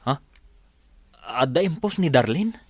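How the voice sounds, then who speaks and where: A man's voice: fairly high pitch, expressive, measured pace, slightly rough, no room sound. A man acting as a flustered, evasive boyfriend caught cheating in an Ilocano radio drama. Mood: startled, evasive. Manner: evasive, stammering.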